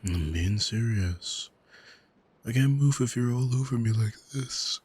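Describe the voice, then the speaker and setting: Man playing a languid, husky, arrogant male demon. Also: medium pitch, lively intonation, a little slow, darkish tone. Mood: weary, annoyed. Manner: languid, teasing, commanding, condescending. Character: arrogant male demon, seductive, condescending, coolly manipulative captor. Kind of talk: ASMR roleplay audio drama, intimate address to listener. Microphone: close microphone, dry.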